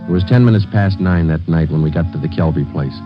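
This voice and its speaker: An American man acting a terse, businesslike police detective in clipped, deadpan 1940s radio drama narration. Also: low-pitched, fast talker, close to an everyday voice. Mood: flat, businesslike. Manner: deadpan, matter-of-fact. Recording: dull 1940s radio recording with music.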